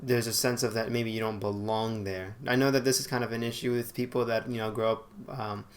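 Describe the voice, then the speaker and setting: A man's voice: lowish voice, little pitch movement, a little fast. A man hosting an interview, asking casual questions that he rephrases as he goes, with plenty of fillers.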